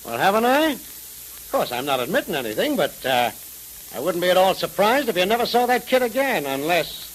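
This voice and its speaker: Smug, high-pitched radio crime boss. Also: male voice, big pitch swings, moderate pace, rough recording. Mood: mocking. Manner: sneering, sarcastic, insinuating. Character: smug scheming male crime boss, threatening and mocking. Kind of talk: 1930s radio drama acting. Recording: hissy 1930s radio recording.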